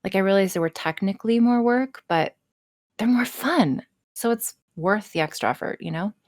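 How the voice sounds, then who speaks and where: A woman's voice: medium pitch, moderate pace, dry recording, studio quality. A woman speaking candidly in casual podcast chat, emphatic and freely swearing, with some self-mockery. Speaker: podcast guest, erotic audio role-play performer.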